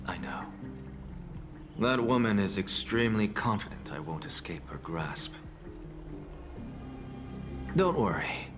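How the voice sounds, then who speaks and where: Deep-voiced, sardonic, proud scholar. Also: male voice, expressive, slow, long pauses, music in the background. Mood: weary, haughty. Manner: haughty, theatrical, sarcastic. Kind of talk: video game voice acting.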